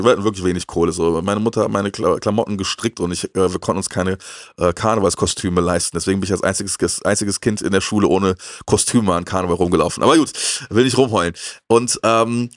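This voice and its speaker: A man's voice: lowish voice, quick pace, no room sound, studio quality. A man, chatting casually and telling personal stories in emphatic, slangy words. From Germany. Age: early 40s. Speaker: host of a retro TV talk show. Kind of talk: casual chat, personal anecdotes. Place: indoor room with shelves of films. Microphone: handheld microphone.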